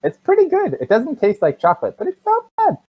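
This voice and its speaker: Game master acting small high-pitched feisty child. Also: huge pitch swings, a little fast, slightly rough, highs cut off, full character voice. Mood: chirpy, naive. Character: small childlike girl, stubborn, fierce and naive.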